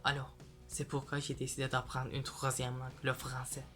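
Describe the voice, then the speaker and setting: A man's voice: medium pitch, completely flat delivery, normal speed. A young man, a native Turkish speaker, giving an earnest prepared answer as a language student. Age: young adult. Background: soft background music.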